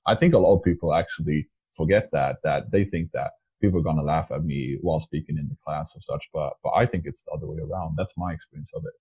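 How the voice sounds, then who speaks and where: A man from Sweden with a Swedish accent, hosting a podcast conversation, soft-spoken and stammering over words. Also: extremely low pitch, fast talker, few pauses, slightly rough. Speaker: podcast host. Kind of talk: casual podcast interview.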